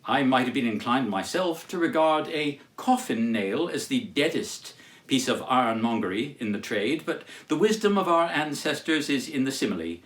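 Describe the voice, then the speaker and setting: A man giving a formal, declamatory literary reading as a storyteller, with deliberate phrasing and dramatic pauses. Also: mid-range voice, fairly bright tone. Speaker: storyteller reading as Charles Dickens. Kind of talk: theatrical literary reading with introduction.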